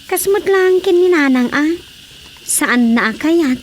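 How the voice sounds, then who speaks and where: A woman acting an excitable village woman in a comedy radio drama, emphatic and plaintive, with an Ilocano accent. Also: sits high, slow pace, a little hiss or hum. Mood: questioning.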